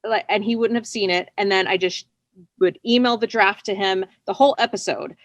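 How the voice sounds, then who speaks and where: A woman's voice: medium pitch, steady tone, fairly quick, no room sound. Chatty woman in a casual interview, dry sound. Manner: chatty, self-deprecating, often laughing lightly as she talks. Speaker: interview guest, writer.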